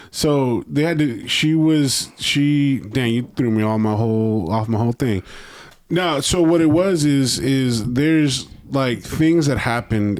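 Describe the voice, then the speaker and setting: A man's voice: medium pitch, dry recording. An American man in his thirties chatting casually as a podcast host, acting out little quoted asides as he explains.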